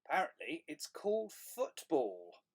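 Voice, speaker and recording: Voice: mid-range voice, wildly swooping pitch, unhurried, husky, pitched above the performer's own voice, heavily acted. A storyteller voicing a friendly, curious young dragon telling its own story, earnest and wide-eyed, with dramatic emphasis.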